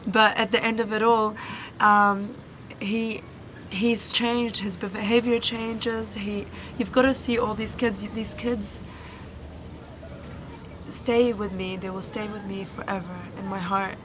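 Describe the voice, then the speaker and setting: A young woman volunteer answers interview questions, earnest and halting, with frequent ums and restarts. Her voice stays fairly level in pitch at a medium height. The recording carries clear background noise throughout. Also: steady tone. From New Zealand. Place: Egyptian village, indoors or outdoors. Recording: noisy background.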